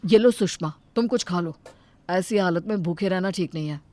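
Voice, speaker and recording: A woman voicing a young married son who speaks in a brisk, plain-spoken way in a cartoon story. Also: fairly low pitch, a little mellow, lightly acted. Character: young married son, curt then caring. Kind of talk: cartoon dubbing.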